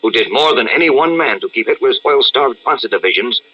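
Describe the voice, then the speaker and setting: A man with a husky voice narrating a radio serial in a dramatic, scripted style. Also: mid-range voice, expressive, a little fast, a little hiss or hum, natural voice. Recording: dull lo-fi radio-style recording.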